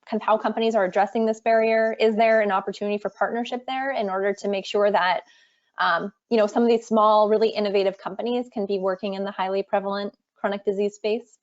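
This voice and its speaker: A woman's voice: medium pitch, fairly even intonation, quick pace. A woman moderating a virtual panel, asking questions with fillers between phrases. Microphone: video call. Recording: muffled video call audio.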